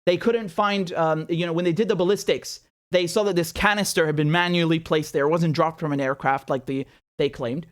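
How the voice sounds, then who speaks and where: Animated, indignant man, high voice. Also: fairly quick. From Syria. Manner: indignant, sarcastic, repeats words when worked up. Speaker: political commentator host. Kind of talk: animated commentary to camera. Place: small indoor studio room. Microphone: studio mic on boom arm.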